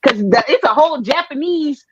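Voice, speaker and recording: A woman's voice: high voice, lively intonation, moderate pace, a little mellow, slight background noise. Emphatic woman on a muffled dry call. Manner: emphatic, repeats herself, slangy. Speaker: panelist. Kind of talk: casual debate chat.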